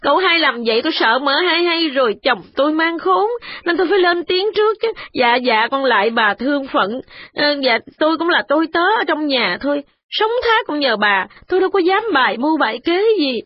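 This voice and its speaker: A woman's voice: high-pitched, animated, fairly quick, pitched above the performer's own voice. A woman voicing a frightened servant woman who pleads through tears. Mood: tearful pleading. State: crying. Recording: dull lo-fi audio with music.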